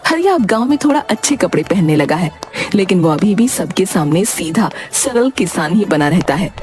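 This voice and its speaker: A woman's voice: fairly low pitch, very animated, normal speed, music in the background. A woman narrating a scripted children's moral story as its narrator.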